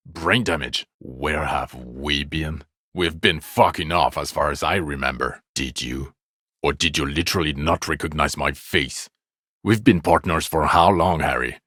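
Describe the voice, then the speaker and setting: An actor voicing a bitter, exasperated police detective in a video game, with scornful, biting sarcasm. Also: male voice, rumbling low, a bit bright, no room sound, clean studio sound. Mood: angry, bitter.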